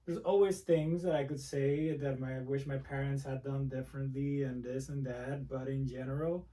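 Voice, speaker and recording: A man from the Republic, a guest speaking softly and reflectively in a casual interview. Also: mid-range voice, fairly even intonation, normal speed, recorded from a distance. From Dominican Republic. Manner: soft-spoken, reflective, frequent restarts. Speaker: guest, music producer.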